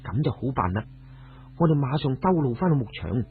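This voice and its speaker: A man's voice: medium pitch, very animated, a little fast, a little hiss or hum. A man voicing a resolute, plain-spoken young swordswoman in a radio story. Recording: muffled phone-like radio audio, music behind.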